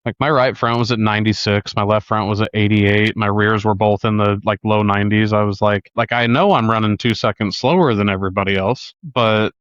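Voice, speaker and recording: Low-voiced man chatting, slightly dull audio. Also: fairly even intonation, brisk, hardly any pauses, a bit bright, clean tone, no echo. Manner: conversational, rambling, uses "like" often. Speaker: podcast co-host. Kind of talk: casual sports chat.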